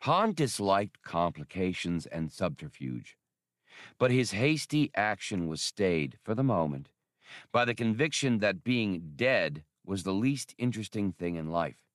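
Low-voiced man narrating fiction, dry sound. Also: big pitch swings, a little slow, no echo. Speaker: audiobook reader.

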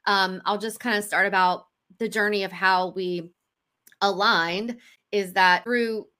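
A woman's voice: mid-range voice, fairly even intonation, a little slow, sharp tone. A woman co-hosting a podcast in an enthusiastic, chatty way, introducing a guest on a video call. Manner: enthusiastic, chatty, laughs often. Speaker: podcast co-host. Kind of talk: casual podcast chat. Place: home studio, video call.

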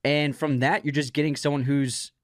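A man's voice: on the high side, flat delivery, moderate pace, no echo. Man chatting casually, dry close sound. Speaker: podcast co-host. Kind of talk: casual conversational discussion.

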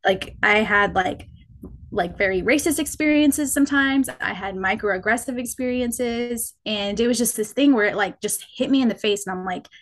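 A young woman, a podcast guest, sharing personal experiences in a reflective, halting way with many restarts. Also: on the high side. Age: young adult. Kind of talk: personal storytelling in conversation.

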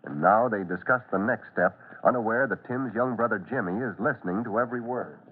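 A man's voice: on the low side, fairly quick, slightly rough. A man with an American accent narrating an old-time radio drama in an emphatic, suspenseful style. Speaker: announcer and narrator. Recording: tinny vintage radio recording.

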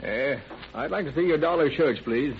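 An actor playing a mild, helpful store customer with a gravelly voice in an old radio drama. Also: male voice, a little high, moderate pace, lightly acted. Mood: mild, unhurried. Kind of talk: 1940s radio crime drama acting. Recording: muffled old radio recording with hiss.

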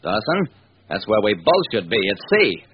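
A man's voice: fairly low pitch, very animated, normal speed. An actor playing a driven, wry police detective who narrates his case in hard-boiled radio drama style. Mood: insistent. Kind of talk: old-time radio crime drama narration. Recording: dull, noisy vintage radio recording.

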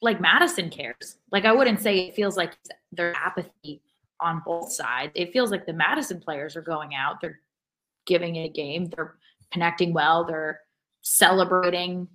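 Female sports podcast co-host, lower-pitched, measured and earnest in casual discussion. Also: bright, forceful tone.

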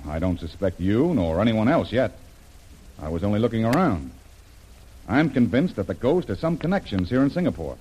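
An actor playing a plucky, plain-spoken American seafaring adventurer in an old-time radio drama. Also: male voice, fairly low pitch, big pitch swings, normal speed, dark tone, breathy edge. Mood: candid, steady. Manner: plain-spoken, informal, hesitant when cornered. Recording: noisy vintage radio drama recording.

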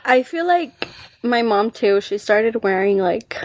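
A woman's voice: on the high side, expressive, a little slow, a little hiss or hum. A young woman co-hosting a podcast in easygoing casual chat, quoting herself and slipping into Spanish. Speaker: podcast co-host.

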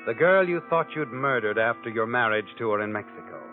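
An actor playing a sardonic, all-knowing narrator who taunts the guilty in an American 1940s radio crime drama. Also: male voice, medium pitch, expressive, brisk, close to an everyday voice. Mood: sly, taunting. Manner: knowing, taunting, speaks directly to the character. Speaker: host and narrator. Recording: tinny old radio broadcast, music behind.

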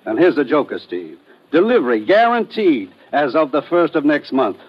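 An actor playing a brisk, authoritative government commissioner in an old 1950s radio drama. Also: male voice, a little high, sing-song, husky. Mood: businesslike. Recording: muffled old radio recording.

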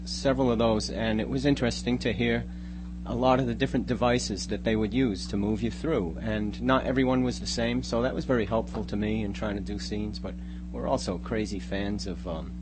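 A man's voice: lowish voice, fairly even intonation, a little fast. Male radio guest over noisy hum. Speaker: guest, scriptwriter. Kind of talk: casual radio interview. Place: radio studio. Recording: radio studio, loud noise and hum.

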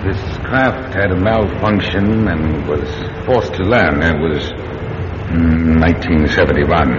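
Low-voiced, ominous government official. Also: male voice. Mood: calm, explanatory. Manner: measured, formal, deliberate, hesitant pauses. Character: grave, secretive male government official, calm and ominous. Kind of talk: radio drama acting. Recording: dull radio recording with clear noise.

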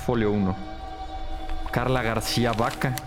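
A man with a mid-range voice playing a police lab analyst. He reports in a matter-of-fact way in a crime drama, with clear music underneath. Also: medium pitch, steady tone, measured pace, natural voice. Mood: matter-of-fact reporting. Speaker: actor in a crime audio drama. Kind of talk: scripted drama dialogue.

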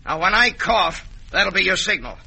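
An actor playing a blunt, wary Old West man in a radio western, with a gruff American western drawl. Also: sits high, animated, normal speed, sharp tone, heavily acted, low-quality recording. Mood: bossy, menacing. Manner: folksy, blunt frontier drawl.

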